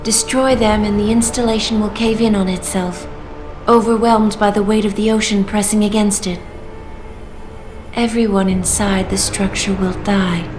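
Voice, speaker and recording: A woman voicing a female artificial intelligence in a video game, speaking in a smooth, measured and coolly persuasive way. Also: mid-range voice, fairly even intonation, natural voice. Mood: calm persuasion. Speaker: AI guiding the player through a choice. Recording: roomy game audio with clear music.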